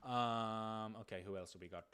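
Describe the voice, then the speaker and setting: A man's voice: on the low side, moderate pace, pushed and bright, clean tone. A young American man hosting a livestream, chatting casually with streamer slang and some swearing. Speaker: livestream host and prank caller. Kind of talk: livestream chat.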